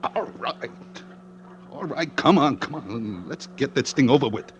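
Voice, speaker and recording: A man with an American accent playing a squeamish, rattled morgue worker in a halting, jittery 1940s radio style. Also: mid-range voice, lively intonation, lightly acted. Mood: impatient. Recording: noisy old radio drama recording.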